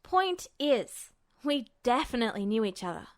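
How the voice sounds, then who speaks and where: A woman voicing an excited, impatient teenage boy in a story reading, blurting things out with emphatic insistence. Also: on the high side, moderate pace. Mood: upbeat. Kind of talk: audiobook-style character dialogue.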